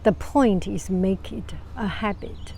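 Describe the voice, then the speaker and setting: A woman with a Chinese accent and a low, soft voice giving calm, casual advice to camera, often restarting phrases and sometimes dropping to a whisper. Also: creaky, a little hiss or hum. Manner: calm, unhurried, many restarts. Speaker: vlogger.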